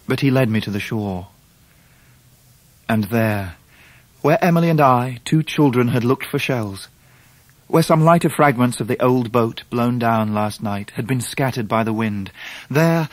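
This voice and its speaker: An actor playing an earnest grown gentleman who narrates his own story in a radio play, with an English accent and measured, vivid delivery. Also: mid-range voice, no room sound, lightly acted. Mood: grave, sorrowful. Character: grown David Copperfield, earnest grieving male narrator. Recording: noisy surf and wind behind voice.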